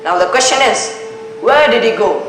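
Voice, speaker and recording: A teenage student acting a composed, methodical detective in a stage play, speaking formally and deliberately. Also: mid-range voice, expressive, noisy background, natural voice. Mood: composed, probing. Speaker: student actor in a school play. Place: school stage, indoors. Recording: very echoey school stage, music behind.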